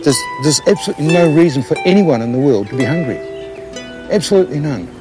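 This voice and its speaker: A man's voice: fairly high pitch, animated, quick pace, music in the background. A man explaining hands-on gardening in an earnest, plain-spoken way, repeating words for emphasis. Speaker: organic gardener. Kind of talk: informal explanation and demonstration to camera in a documentary.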